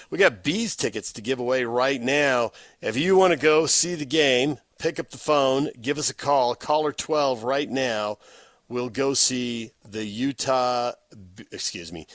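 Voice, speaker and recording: A man's voice: medium pitch, a bit bright, no room sound. An American man working as a sports radio host, interviewing guests and reading promos. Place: radio studio.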